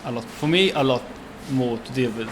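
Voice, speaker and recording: Man, twenties, Swedish accent, halting, fillers, mid pitch, noisy background. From Sweden. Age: 20s. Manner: halting, many fillers and restarts. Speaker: chef and restaurant owner. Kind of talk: casual interview.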